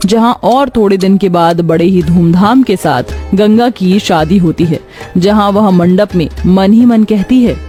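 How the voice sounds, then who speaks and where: A woman's voice: mid-range voice, measured pace, dark tone, faint music behind. A woman narrating a scripted cartoon story.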